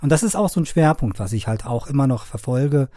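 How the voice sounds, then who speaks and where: A middle-aged man from Afghanistan, a sound engineer and media trainer, answering interview questions in a halting way with many fillers and repeated words. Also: mid-range voice, animated, warm tone, dry recording, clean studio sound.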